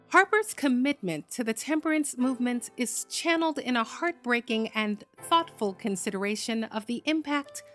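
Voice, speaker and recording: A woman reading scripted documentary narration in an expressive, deliberate, dramatic way. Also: a little high. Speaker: narrator, performer.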